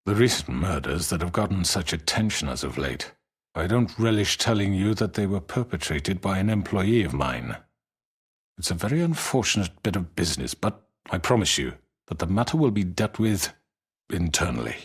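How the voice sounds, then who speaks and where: A menacing video game crime lord. His voice is very deep and husky, and it glides up and down expressively. He speaks with formal, unctuous courtesy, suave but threatening. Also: big pitch swings, exaggerated voice. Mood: soothing, condescending. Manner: unctuous, formal, measured politeness. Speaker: crime boss. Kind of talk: dramatic video game acting.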